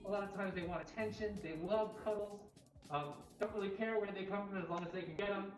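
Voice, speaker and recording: A woman's voice: mid-range voice, fairly even intonation, fast. A woman casually hosting a livestream walkthrough in an informal way. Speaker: cat cafe host. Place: indoor cat cafe room. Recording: roomy echo, faint music behind. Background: faint music.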